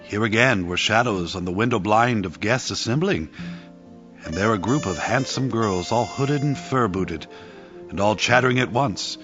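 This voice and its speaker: A man narrating a descriptive Victorian story in a scripted audio play. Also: lowish voice. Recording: dull lo-fi audio with music behind.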